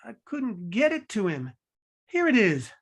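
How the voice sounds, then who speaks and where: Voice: medium pitch, wildly swooping pitch, unhurried, slight background noise. Apologetic young streetwise messenger played by an actor. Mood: apologetic, urgent. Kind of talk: table read of a stage play, urban slang. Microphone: video call. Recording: video call audio.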